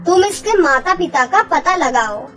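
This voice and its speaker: A woman's voice: very high-pitched, expressive, a little slow, a bit bright, faint music behind, exaggerated voice. A woman playing a wise, authoritative fairy queen giving counsel in a cartoon story. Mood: grave, advising.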